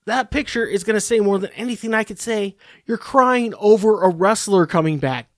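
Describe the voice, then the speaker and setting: A man's voice: high-pitched, normal speed, fairly bright tone, dry recording, studio quality. A man hosting a podcast, ranting in a profane, rambling, sarcastic monologue. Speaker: podcast host.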